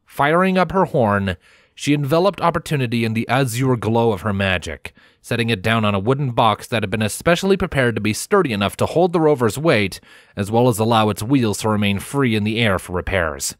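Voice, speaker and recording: A man's voice: medium pitch, expressive, brisk, dry recording, clean studio sound. A man reading a scripted story as its narrator. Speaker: audiobook-style fan fiction narrator.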